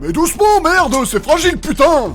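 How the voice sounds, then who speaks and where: A man's voice: very high voice, sing-song, moderate pace, music in the background. A man playing a fussy, wisecracking, talkative mage in a comic fantasy audio drama. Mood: fussy, irritated. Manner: talkative, punning, easily irritated. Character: wisecracking, fussy male mage who loves whisky.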